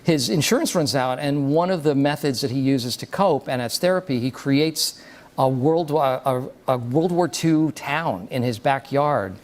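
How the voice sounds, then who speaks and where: A man with a mid-pitched voice talks casually as he lays out a story. His delivery is halting, with pauses between phrases as he builds each sentence. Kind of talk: casual talk describing a film story.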